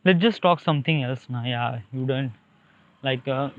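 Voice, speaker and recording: A man's voice: mid-range voice, normal speed, a little hiss or hum. A young man with an Indian accent rambles casually in a monologue, hesitating and repeating himself as he searches for words. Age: young adult. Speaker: solo podcaster. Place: outdoors beside a highway, walking. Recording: thin phone-line-like sound.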